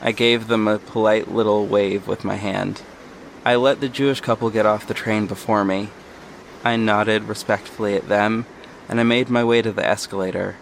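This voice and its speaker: A man playing a reflective, earnest city hero who narrates an audio drama in the first person, in a measured storytelling way. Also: fairly low pitch, fairly even intonation, creaky, a little hiss or hum, poor audio.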